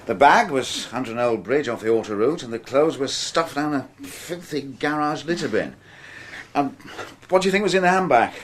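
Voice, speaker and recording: A man's voice: medium pitch, lively intonation, moderate pace, slight background noise, close to an everyday voice. A man acting an exasperated, dry-witted middle-aged police detective in a radio drama, wry and sometimes hesitant.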